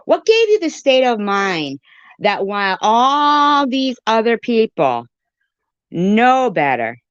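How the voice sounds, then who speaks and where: A woman's voice: a little high, very animated, slow, clean tone, dry recording, highs cut off. A woman giving an informal live-stream rant in an irritated, emphatic way, repeating phrases to drive her point home.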